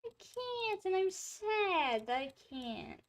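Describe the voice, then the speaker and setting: A young woman streamer in casual chat, rambling with many fillers and restarts, her throat a little dry and hoarse, talking from a room at home. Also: very high voice, very animated, slow, a little hiss or hum. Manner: rambling, chatty, lots of filler words and restarts. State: throat drying, slightly hoarse.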